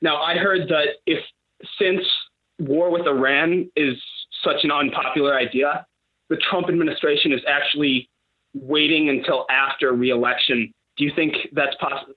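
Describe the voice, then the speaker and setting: A man calling into a talk show on speakerphone, asking a question in an earnest, steady way. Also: fairly high pitch, normal speed. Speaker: caller. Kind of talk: casual phone call. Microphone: phone on speaker. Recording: tinny speakerphone line.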